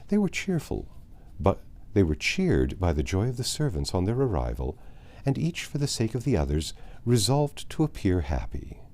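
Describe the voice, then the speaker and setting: A man reads literary prose as an audiobook narrator. His low voice is soft-edged and mellow, and his pitch rises and dips widely through each measured sentence. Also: wildly swooping pitch.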